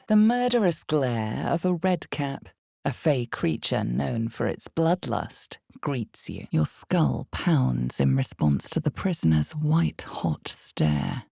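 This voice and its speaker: An actress voicing the narrator of a dark fantasy game, reading in slow, measured second person with a husky, creaky low voice. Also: female voice, no room sound, studio quality, heavily acted. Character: unseen female storyteller of a dark fantasy game. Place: studio.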